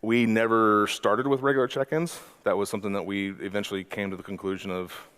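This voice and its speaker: A man's voice: on the low side, little pitch movement, brisk, fairly bright tone, clean tone. A man in his forties giving a conference talk as a mentor, conversational and often correcting himself mid-sentence. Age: 40s. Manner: conversational, self-correcting presenter with frequent "uh".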